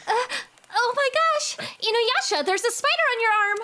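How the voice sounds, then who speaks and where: A woman playing a sweet, excitable teenage girl with a crush in a fan audio drama, expressive and earnest. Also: very high-pitched, moderate pace, slight background noise, full character voice. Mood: excited, dramatic.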